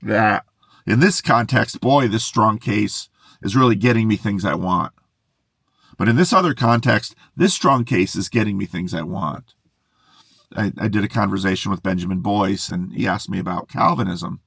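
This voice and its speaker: Low gravelly man musing in a car. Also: normal speed, dry recording, clean studio sound. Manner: musing, self-interrupting, excitable. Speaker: pastor and video host. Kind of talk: unscripted monologue to camera. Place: inside a parked car in a closed garage. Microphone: camera in the car.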